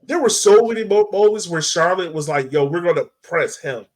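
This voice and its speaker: A man co-hosting a podcast, chatting casually about sport in slangy, animated talk. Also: high-pitched, a little fast. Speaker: podcast co-host.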